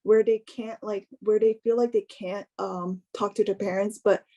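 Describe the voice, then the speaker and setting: A young woman giving an informal personal talk in a hesitant, halting way, often filling in with "like". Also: medium pitch, steady tone, mellow. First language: English. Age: young adult.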